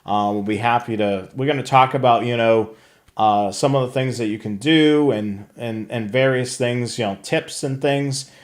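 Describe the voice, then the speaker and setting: A man's voice: mid-range voice, normal speed. A man co-hosting a live hobby show, talking casually through products and services for viewers. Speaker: co-host of a live radio scanner show.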